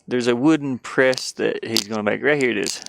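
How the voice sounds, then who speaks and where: A man chatting casually on a livestream, rambling and halting, often putting down his own efforts. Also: medium pitch, gravelly, a bit bright, a little hiss or hum. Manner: halting, rambling, self-deprecating. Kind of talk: casual livestream chat while sketching.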